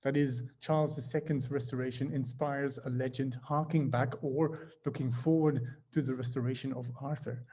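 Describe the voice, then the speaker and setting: A man's voice: medium pitch, fairly even intonation, normal speed, few pauses, warm tone, breathy edge. A man chairing an academic session, reading out audience questions with hesitant fillers.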